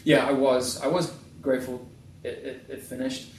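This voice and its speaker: A man, a tattoo artist being interviewed in his shop, talking casually in halting bursts with many restarts. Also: medium pitch, little pitch movement, recorded from a distance, slight background noise. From New Zealand. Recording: echoey room, a bit off-mic.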